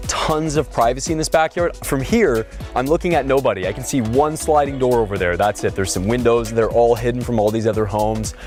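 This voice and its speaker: A slightly hoarse man speaks in an energetic, salesy rush, his voice sitting in an ordinary middle register. He sounds like a real estate agent hosting outdoors, with faint music running quietly underneath. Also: medium pitch. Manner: energetic, quick-talking, salesy. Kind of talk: casual behind-the-scenes explanation and upbeat property pitch. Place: outdoors at a house.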